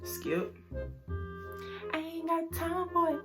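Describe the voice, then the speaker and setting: A woman's voice: extremely high pitch, takes it slowly, music in the background. A young woman from Mississippi, chatting casually and with a lot of slang to her viewers in a vlog, filmed on a phone indoors at a relative's house. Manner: slangy, lively, talks straight to viewers. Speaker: YouTuber.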